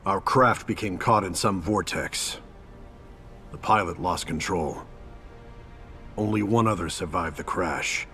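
A man playing a disciplined, respectful martial artist in a video game, earnest and measured. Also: deep voice, a bit bright. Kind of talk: video game drama acting.

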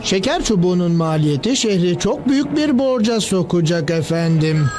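A man voicing an eager, cocksure cartoon professor with a creaky voice, explaining confidently. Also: high voice, sing-song, moderate pace, background noise. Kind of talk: Turkish cartoon dub acting.

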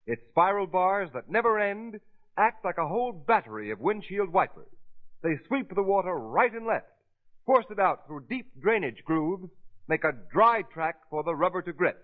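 A man announcing in a polished, emphatic, dramatic 1930s radio style, reading from a script. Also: sits high. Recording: thin, phone-like 1930s radio recording.

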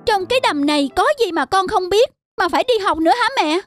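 A woman's voice: very high voice, animated, quick pace. A woman voicing a cocky, whiny little frog child who skips school, in a children's cartoon dub. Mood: defiant, bored. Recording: music behind the voice.

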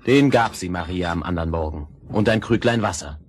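A man narrating a scripted fairy tale in a husky voice, measured and expressive. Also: deep voice.

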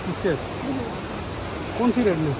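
Middle aged guy filming himself on his phone by a waterfall, chatty, loud music over it. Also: high-pitched, poor audio. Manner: excited, chatty, calls out to family. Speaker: travel vlogger. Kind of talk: casual vlog narration. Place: outdoors by a waterfall pool. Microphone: phone held at arm's length. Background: rushing water and a waterfall.

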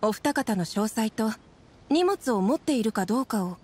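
A woman's voice: on the high side, expressive, normal speed, a little mellow, slight background noise, natural voice. An actress voicing a polite, businesslike woman checkpoint inspector in an anime game, speaking formally and courteously. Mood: polite, inquiring. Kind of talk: anime video game voice acting.

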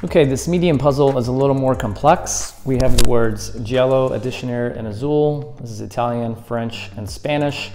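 A man in his thirties talks through a hands-on tutorial with easy, upbeat energy, explaining each step as he goes. His voice sits in an ordinary middle pitch. He is recorded indoors on a lapel mic, with faint music playing softly behind him. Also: moderate pace, few pauses. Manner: upbeat, explains step by step while handling props. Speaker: escape room tutorial host. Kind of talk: friendly how-to demonstration. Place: indoors at a table against a plaster wall.

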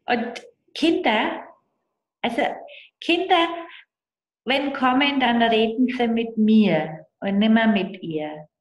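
A woman voicing a friendly child-like girl hand puppet who speaks simply and with a lisp. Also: on the high side. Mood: confiding. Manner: slow, simple childlike phrasing. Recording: roomy video call sound.

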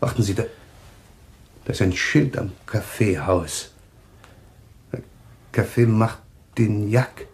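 An actor playing a composed, cryptic man with eerie visions of death in a German radio thriller, speaking deliberately. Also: lowish voice, darkish tone. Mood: horrified trance. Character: calm, eerily taunting man claiming visions of deaths.

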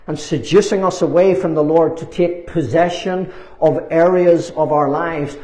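A man in his sixties preaching a fervent sermon, emphatic and repetitive, with deliberate pauses, on a lapel mic in a small church meeting room. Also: fairly high pitch, a little mellow. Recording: roomy, echoing meeting room.

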